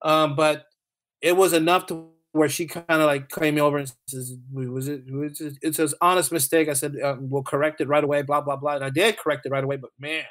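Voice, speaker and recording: Informal male interview guest, an author type in casual chat, voice a touch high, phrases often doubled. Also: flat delivery, brisk, a bit bright. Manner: informal, repeats phrases as he goes. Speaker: podcast guest, author and audio dramatist.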